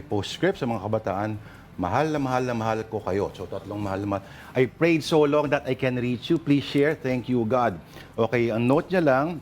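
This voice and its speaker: A man's voice: mid-range voice, darkish tone. A man with a Filipino accent giving casual, musing and explanatory news commentary as a talk show co-host. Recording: faint background ambient noise.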